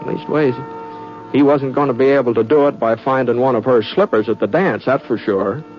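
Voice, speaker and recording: A man's voice: mid-range voice, natural voice. A man playing a kindly, bashful frontier fellow with an American Western accent, in a homespun, hesitant old-time radio style. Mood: wry storytelling. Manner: homespun, hesitant, drawn-out delivery. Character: folksy, kindly, bashful frontier man telling his tale. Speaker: narrator and lead character. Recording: dull vintage radio recording with music.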